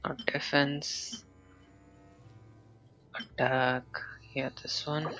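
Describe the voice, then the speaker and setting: A man streaming a video game live, murmuring to himself slowly and hesitantly as he plays. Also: medium pitch, little pitch movement, bright, forceful tone, soft background music, poor audio. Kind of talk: casual live gameplay commentary.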